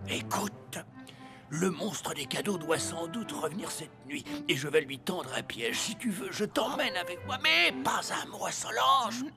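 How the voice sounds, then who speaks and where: A kindly old cartoon Santa Claus, theatrical and fatherly. His pitch swings widely as he gets excited, and music plays clearly behind him. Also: unhurried, full character voice. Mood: sly, reassuring. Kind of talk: children's cartoon dubbing.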